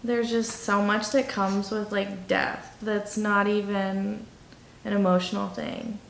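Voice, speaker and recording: A woman of twenty-three speaking candidly and haltingly about personal things in a documentary interview. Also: medium pitch, little pitch movement, vocal fry, a little hiss or hum. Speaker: documentary interviewee, nursing student. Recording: roomy echo with music behind. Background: instrumental music.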